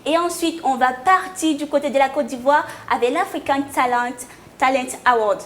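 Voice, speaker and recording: A woman's voice: sits high, moderate pace, a bit bright, slight background noise. A young woman presenting a segment on a studio chat show, speaking with enthusiasm and emphatic repetition. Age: young adult. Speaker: co-host presenting a fashion segment.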